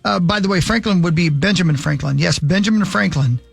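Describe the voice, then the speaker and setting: Radio host guy, highish gravelly voice, casual banter, bit of music behind. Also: a little fast, no echo. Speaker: morning radio show host.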